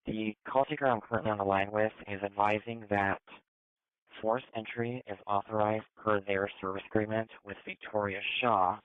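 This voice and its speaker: A man's voice: lowish voice, fairly even intonation, moderate pace, clear, resonant tone, no echo. A man working as a police dispatcher, giving procedural messages over a two-way radio. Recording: tinny narrow police scanner radio.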